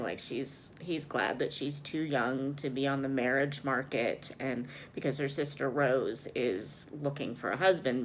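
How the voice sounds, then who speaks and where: A woman's voice: rumbling low, slight background noise. A woman chatting casually as a book vlogger, rambling and hesitant, often correcting herself as she goes. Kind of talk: casual chat, reading vlog.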